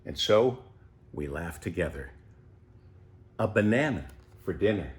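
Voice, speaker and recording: A man reading a children's storybook as an unhurried, contented eighty-year-old pet tortoise who savours everything with wonder. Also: low-pitched, sing-song, voice pushed down. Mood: serene, savouring. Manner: savouring, leisurely, full of wonder.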